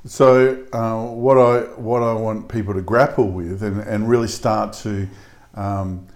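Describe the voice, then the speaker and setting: A man's voice: lowish voice, fairly even intonation, measured pace, a little mellow. A man who is a pastor, co-hosting a casual podcast discussion in an earnest, emphatic way with frequent fillers.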